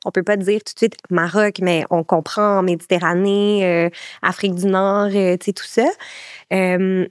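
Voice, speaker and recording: A woman's voice: medium pitch, flat delivery, moderate pace, no echo, clean studio sound. A woman in her thirties with a Québécois French accent, a podcast guest speaking in casual conversation, thoughtful and searching, with frequent fillers and restarts.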